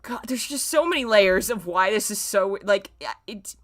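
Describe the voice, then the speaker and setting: A woman's voice: mid-range voice, lively intonation, moderate pace. A woman reading fan fiction aloud in a dramatic way, breaking off for casual, self-mocking asides.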